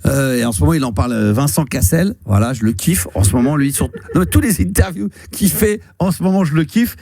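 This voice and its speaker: A man's voice: a little high, animated, fairly quick, dark tone. A man in his fifties hosting a radio call-in show, chatting casually and rambling with many fillers and restarts. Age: 50s. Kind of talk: casual radio chat with a caller. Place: radio studio.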